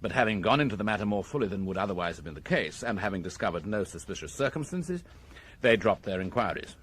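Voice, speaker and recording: An actor playing a methodical, courteous British police inspector in a radio crime drama, speaking in a measured, formal and precise way. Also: male voice, on the low side, big pitch swings, a bit bright, husky, full character voice. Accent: British English. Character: methodical, courteous male police inspector reporting his findings.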